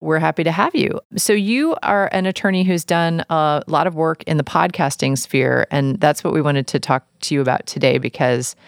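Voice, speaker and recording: A woman's voice: sits low, moderate pace, vocal fry, few pauses, no echo, studio quality. A woman hosting an interview podcast, speaking as presenter and interviewer. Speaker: podcast host. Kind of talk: podcast interview and sponsor reads.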